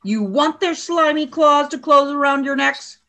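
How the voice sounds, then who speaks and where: Radio drama: actress as a domineering alien warrior woman. Higher-pitched, formal, commanding, contemptuous. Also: a little slow, a bit bright. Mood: scornful fury. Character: stern, domineering alien female military leader. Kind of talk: radio play acting.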